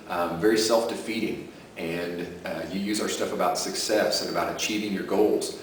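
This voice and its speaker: A man with a deep voice pitching his sleep audio program in a chatty way and often adding "okay?" to his points. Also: a little hiss or hum. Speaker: self-help audio creator. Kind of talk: casual direct-to-camera pitch. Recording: roomy echo from a distant mic.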